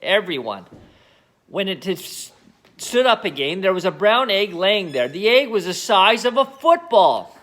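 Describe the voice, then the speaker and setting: A man reading a children's book aloud as an expressive narrator. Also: high voice, measured pace. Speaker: storybook reader.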